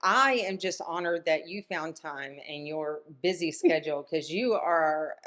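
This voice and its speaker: Upbeat low-voiced woman hosting a podcast. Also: a bit bright. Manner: upbeat and affirming, quick to praise. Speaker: podcast host. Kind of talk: casual interview chat. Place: studio or sit-down room.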